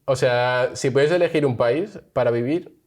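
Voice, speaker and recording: A man's voice: mid-range voice, moderate pace, a little mellow. A young man from Spain, chatting casually as an interview guest and laughing often. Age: young adult.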